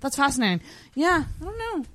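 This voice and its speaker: A young woman from the Maritimes hosting a casual chat, asking curious questions and reacting with quick exclamations. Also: high voice, big pitch swings, a bit bright. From Maritimes, Canada.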